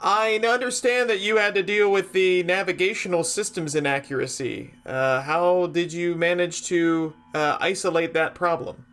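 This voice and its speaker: A man's voice: high voice, fairly even intonation, moderate pace, few pauses, fairly bright tone, clean tone, faint music behind. A man playing a tabletop role-playing game, talking casually and asking questions as his character.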